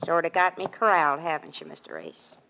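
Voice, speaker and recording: A woman's voice: low voice, sing-song, fairly quick, creaky, exaggerated voice. A woman playing a stubborn older ranch matriarch in a radio drama, blunt and bossy, with a rural Western American accent. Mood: grudging. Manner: blunt, forceful, folksy. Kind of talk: 1940s-50s radio western acting. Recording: noisy vintage radio recording with clicks.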